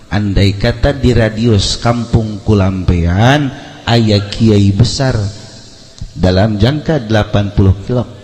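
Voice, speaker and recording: A man's voice: fairly low pitch, warm tone. Reverberant male preacher telling a sermon story. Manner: theatrical, switching between narration and heightened quoted speech. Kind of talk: storytelling sermon to a congregation, acting out dialogue.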